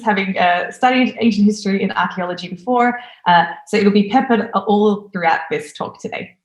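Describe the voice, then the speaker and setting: A woman in her thirties gives a recorded talk from a home room, speaking at a middle pitch with plenty of eagerness. Her voice rings a little in the room around the webcam microphone, so the sound is roomy rather than close. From Australia. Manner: enthusiastic, lively presenter. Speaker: conference speaker.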